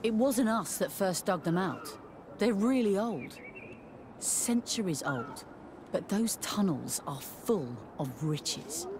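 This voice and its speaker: Game role: busy, practical female miner, matter-of-fact and animated, over clear background noise. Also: mid-range voice, slow. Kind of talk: video game character dialogue.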